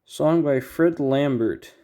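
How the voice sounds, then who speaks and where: A man giving casual unboxing commentary as a collector, thinking aloud in a halting way. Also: on the high side, warm tone. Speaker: record collector, video host.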